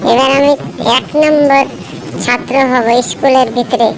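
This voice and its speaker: A woman's voice: high-pitched, steady tone, unhurried, gravelly, noisy background. A woman voicing an earnest, polite young village schoolboy in a cartoon moral story, respectful and childlike. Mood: earnest, grateful.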